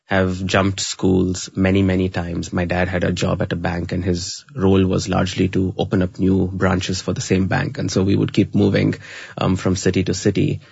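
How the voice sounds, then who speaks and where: A man's voice: deep voice, monotone, moderate pace, creaky, darkish tone, husky, dry recording, muffled recording. A man from Chennai, telling stories as a podcast guest in a thoughtful way with many fillers and restarts. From South India (Chennai). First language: Tamil. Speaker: podcast guest, learning scientist. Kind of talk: reflective conversational storytelling.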